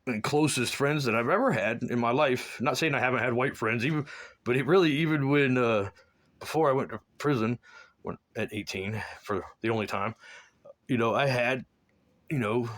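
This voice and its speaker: A man from South Florida talking in a rambling personal monologue, halting and full of restarts, often trailing into low mumbles. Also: fairly bright tone. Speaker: man recounting his life.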